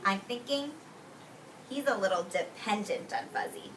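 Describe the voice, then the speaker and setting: A woman teacher reading a picture book aloud to young children, expressively and deliberately, drawing out key words and explaining simply. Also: mid-range voice, expressive. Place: indoors in a classroom with young children. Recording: roomy distant mic with faint background noise.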